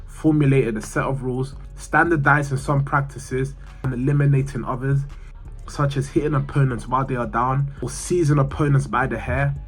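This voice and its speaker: Male explainer-video narrator, mid-pitched, reading from a script with the odd stumble, music underneath. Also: poor audio. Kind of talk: scripted narration, read aloud. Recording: music behind the voice. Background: background music.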